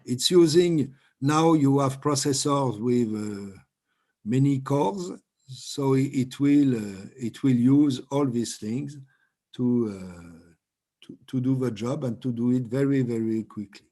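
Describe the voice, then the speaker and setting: A man in his fifties, a native French speaker with a French accent, teaching statistics software over a video call in a slow, halting way that often repeats words. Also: medium pitch. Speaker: trainer. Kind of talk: online software training. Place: home room with bookshelves.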